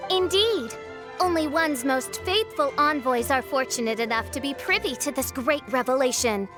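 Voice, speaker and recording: A woman's voice: high-pitched, moderate pace, over music, natural voice. A woman playing a theatrical teenage self-styled princess in an anime game, pompous and melodramatic with archaic phrasing. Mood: solemn, proclaiming. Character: theatrical teenage girl posing as grandiose gothic princess.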